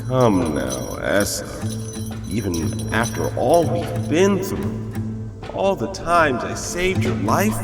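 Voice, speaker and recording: A vain suave gang leader, audio drama, taunting, big reverb, music behind. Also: male voice, medium pitch, big pitch swings, slow pace. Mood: smug coaxing.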